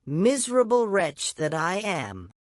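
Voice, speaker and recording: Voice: mid-range voice, big pitch swings, measured pace, no room sound, clean studio sound, voice pushed up. A narrator voicing a brutish, self-pitying male carter in a storybook, grumbling and exclaiming. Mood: wailing despair. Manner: blustering, wailing exclamations.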